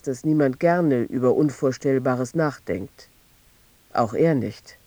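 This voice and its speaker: A male narrator tells a radio-drama story with detached, measured delivery. His voice sits a little high and is recorded close, with no room sound. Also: a little mellow, dry recording. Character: detached male narrator telling of a troubled teacher.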